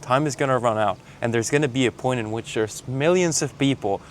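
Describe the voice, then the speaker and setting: A young man with a Mexican Spanish accent delivers a blunt, motivational pep talk into his own camera, peppering it with man and bro. His voice sits at an everyday middle pitch. A faint outdoor ambience hums behind him. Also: fairly quick, slight background noise. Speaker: sales coach. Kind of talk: motivational vlog monologue. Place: outdoors while traveling. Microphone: handheld camera filming himself.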